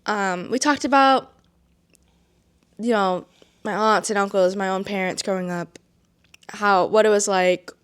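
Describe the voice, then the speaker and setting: A young woman from Massachusetts hosting a casual podcast chat. She speaks softly and reflectively, with many ums. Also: mid-range voice, clean tone. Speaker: podcast host and editor.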